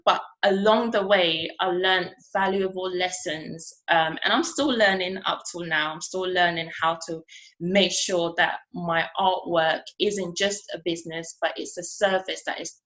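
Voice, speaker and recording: Soft-spoken woman giving a conference speech. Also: lowish voice, steady tone, low-quality recording. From Ghana. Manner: earnest, conversational, with many "um" pauses. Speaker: artist speaking at a conference.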